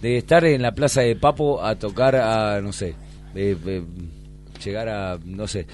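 A man's voice: lowish voice, a little slow, darkish tone, slight background noise. An Argentine man chatting casually as a guest, effusive and emphatic, often repeating his words. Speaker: musician guest. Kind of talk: casual chat.

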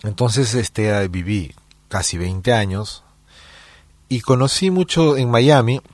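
Middle-aged peruvian guy, musician, super low voice, dry close sound, lots of "eh" and restarts. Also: takes it slowly, no room sound. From Peru. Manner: relaxed storytelling with many fillers and restarts. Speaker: musician guest. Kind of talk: conversational interview answers.

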